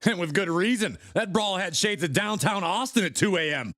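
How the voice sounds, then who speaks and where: A man's voice: high-pitched, expressive, moderate pace. A man playing a brash, wisecracking color commentator in a wrestling video game, wry and emphatic. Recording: loud arena crowd and noise behind.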